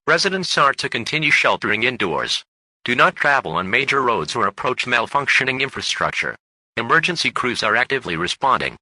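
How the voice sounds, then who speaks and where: Male announcer for emergency bulletins. Very forceful and crisp-edged, formal, impersonal, with dry close sound. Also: medium pitch, no room sound, low-quality recording. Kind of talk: scripted emergency broadcast announcement.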